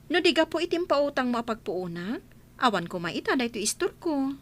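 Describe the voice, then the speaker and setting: A woman's voice: high-pitched, big pitch swings, normal speed. A woman playing a grateful, earnest village shopkeeper in an Ilocano radio comedy drama, polite and deferential, with an Ilocano accent.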